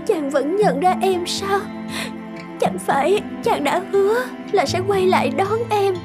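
A woman's voice: very high voice, measured pace. A woman voicing a heartbroken young princess in a fairy-tale cartoon, plaintive and tearful. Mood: hurt, pleading. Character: heartbroken young princess pleading with her lost love. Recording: loud background music.

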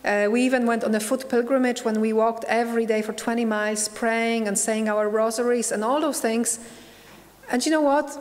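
A middle-aged Polish woman speaks at a church pulpit, her slightly high voice earnest and searching. She pauses and restarts as she tells her testimony. The hall around her rings with a long reverb. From Poland. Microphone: pulpit microphone.

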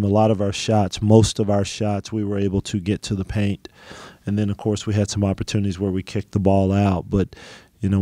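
A middle-aged man coaching basketball, answering reporters after a game, halting, with many ums and restarts. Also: fairly low pitch, mellow, no echo. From United States. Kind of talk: post-game press conference answers.

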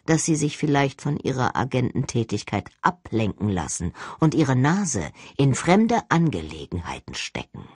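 Reader acting as older male boss, low-pitched, stern, deadpan, condescending, quietly threatening, audiobook, dry close recording. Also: husky, dry recording. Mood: cold, threatening. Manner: expressionless, measured, formal, condescending. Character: stern arrogant older male agency director, coldly threatening.

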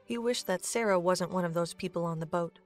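A woman's voice: fairly low pitch, a little fast. A woman reading scripted narration for a fantasy audio drama. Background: music.

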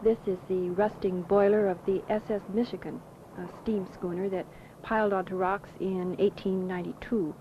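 A woman's voice: mid-range voice, moderate pace. Woman narrating an old travelogue. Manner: calm, descriptive. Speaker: documentary narrator. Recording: muffled old film soundtrack with clear hiss.

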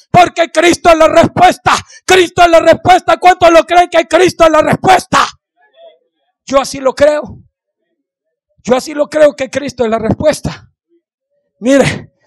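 A middle-aged man delivers a fervent sermon in a very high, gravelly, strained voice. He exhorts his listeners, repeating phrases for emphasis and throwing out questions. The sound is dry, from a handheld microphone held close. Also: dry recording. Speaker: preacher. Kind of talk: impassioned Pentecostal-style sermon. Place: indoor church hall, on the platform.

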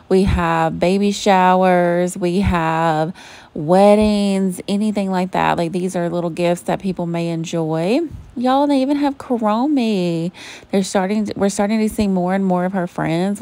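A woman from Georgia with a Southern accent, chatting warmly to viewers as she browses a store on a handheld phone. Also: on the low side, gravelly. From Savannah, Georgia, US. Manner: gushing, chatty, addresses viewers as y'all. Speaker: shopping vlogger. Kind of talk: casual shop-with-me chat. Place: inside a retail store. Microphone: handheld phone camera.

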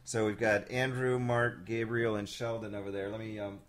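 A man's voice: fairly low pitch, little pitch movement, moderate pace. A man hosting a casual live video chat, talking loosely with many fillers and frequent laughs. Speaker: show host.

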